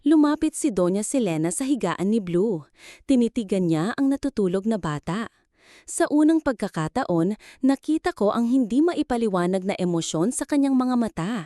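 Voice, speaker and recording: A woman's voice: mid-range voice, mellow, no echo, studio quality. A woman narrating a scripted dramatic story in the first person, with an earnest, sentimental delivery.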